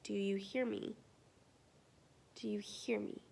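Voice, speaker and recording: A young woman in her twenties with a cold, chatting casually through a makeup tutorial at home and sometimes whispering softly. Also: medium pitch, steady tone, takes it slowly, creaky. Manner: chatty, drops to soft whispers while applying products. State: congested with a cold. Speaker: beauty vlogger. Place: small room at home, indoors. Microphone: camera in front at close range.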